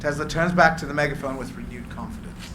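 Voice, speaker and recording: A man's voice: fairly high pitch, fast talker. Man narrating a screenplay in echoey room. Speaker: narrator of stage directions. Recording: echoey room, clear background noise.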